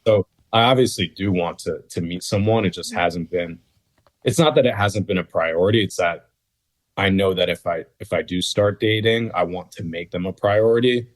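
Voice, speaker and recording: Male, 30, American, deep-pitched, casual interview guest, measured and hesitant, dry recording. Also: no room sound. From northeastern United States. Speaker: interview guest, former reality dating show cast member.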